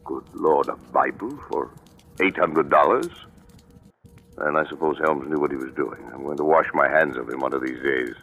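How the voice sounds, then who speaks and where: Brusque pompous lawyer, super deep but sharp voice, old radio show. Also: male voice, normal speed, heavily acted. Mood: incredulous, exasperated. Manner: brusque, clipped, officious. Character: brisk, blunt, self-important male attorney. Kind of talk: 1940s radio drama acting. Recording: dull vintage radio recording, faint music.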